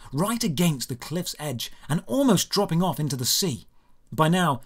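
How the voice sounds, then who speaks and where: A man narrating a scripted first-person fantasy story in a dramatic, deliberate storytelling manner. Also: on the high side.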